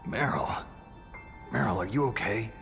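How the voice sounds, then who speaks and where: An actor playing a gruff, battle-weary American soldier hero in a video game, gravelly, terse and protective. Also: male voice, fairly low pitch, unhurried. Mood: tender concern. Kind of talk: dramatic video game cutscene acting. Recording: long reverb, loud music behind.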